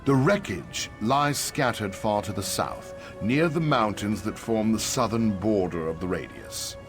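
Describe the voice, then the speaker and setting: A weary old man, voiced by an actor in a fantasy game, grave and regretful. His low voice moves in lively swings, with clear music behind it. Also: a little slow. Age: older. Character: weary, cautious old male pilgrimage survivor, regretful.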